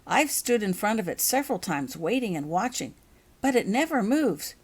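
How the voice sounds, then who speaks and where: Woman narrator as an eager schoolboy pal, kinda insistent, pitched up a bit, storybook reading. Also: sing-song, normal speed, slightly rough. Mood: puzzled. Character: eager, impatient schoolboy friend.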